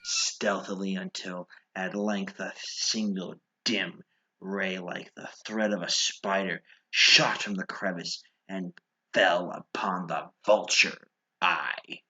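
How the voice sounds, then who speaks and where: A man playing an unhinged, nervous, gloating murderer who narrates a gothic horror tale in a theatrical, dramatic manner, swinging between hushed and frantic delivery. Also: deep voice, steady tone, takes it slowly, breathy edge, heavily acted, low-quality recording. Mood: hushed, creeping.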